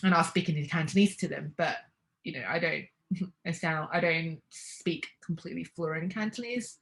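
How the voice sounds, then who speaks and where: Reflective lower-voiced woman. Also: moderate pace, gravelly, fairly bright tone. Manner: reflective, hesitant restarts, light laughs. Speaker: interview guest. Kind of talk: casual conversational interview.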